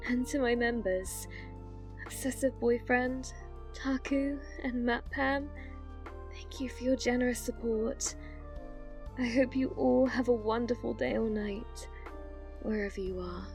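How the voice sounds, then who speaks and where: A woman's voice: a little high, fairly even intonation, unhurried, long pauses, darkish tone, faint music behind. A woman who makes ASMR roleplays, giving a scripted thank-you to her listeners. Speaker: ASMR channel creator.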